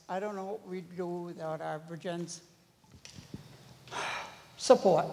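An older woman with a loud voice speaking emphatically at a town meeting, repeating words for stress. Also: on the low side, gravelly. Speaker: board member of a senior association. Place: large meeting hall. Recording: long hall reverb.

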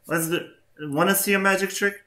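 Young man, Albanian accent, playful chatty tone, headset mic, roomy echo, mid pitch. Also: fairly quick. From Kosovo. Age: young adult. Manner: playful, animated, swears when flustered. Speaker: YouTuber. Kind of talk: casual video chat. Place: small room at home.

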